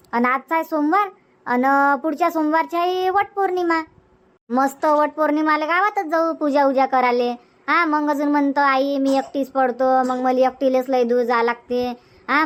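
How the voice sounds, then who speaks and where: A woman's voice: high voice, fairly bright tone. A woman playing a fussy middle-aged village mother in a comedy cartoon, chatty and insistent, repeating herself in Vidarbha Marathi accent. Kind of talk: homemade comedy cartoon dubbing.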